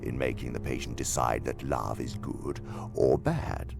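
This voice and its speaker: An imperious old-guard demon for audio theater. The voice is deep and creaky, with wide theatrical swings. He lectures slyly and pompously, relishing his words, over prominent music. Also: fairly even intonation, a little slow, exaggerated voice. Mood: cold scheming. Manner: pompous, theatrical, savoring words with dramatic emphasis. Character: senior male demon, sly, imperious mentor in temptation. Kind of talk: theatrical radio drama dialogue.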